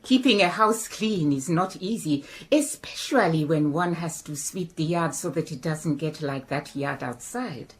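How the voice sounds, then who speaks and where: A composed, shrewd middle-aged woman in a radio play , played by a woman. She speaks in a fairly low, measured voice with a dry edge. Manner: calm, measured, dryly pointed. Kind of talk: radio drama acting.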